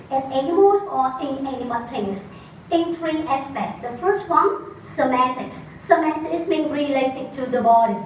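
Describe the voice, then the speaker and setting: A woman's voice: sits high, slight background noise. A woman with a Vietnamese accent giving an academic conference talk, explaining earnestly and often correcting herself mid-word. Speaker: conference presenter. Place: conference room. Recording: echoey hall, far from the mic, faint ambience.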